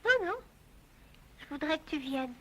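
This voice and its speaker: An actress playing a fragile, apologetic teenage girl pleading softly in a French radio drama. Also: high-pitched, lively intonation, unhurried, slight background noise, heavily acted. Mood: timid, pleading.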